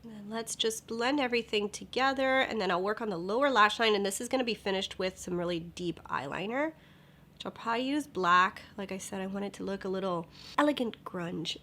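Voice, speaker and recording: Chatty young woman, slightly nasal. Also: a little high. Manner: chatty, upbeat, drops to soft whispers while blending. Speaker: beauty vlogger. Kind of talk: casual makeup tutorial chat.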